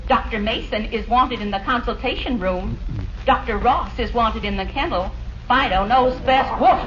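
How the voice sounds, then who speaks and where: A woman playing a deadpan hospital paging announcer in an old radio comedy. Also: a little high, moderate pace, natural voice. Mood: official. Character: female hospital public-address announcer, comically deadpan. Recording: distant, very noisy, muffled radio recording.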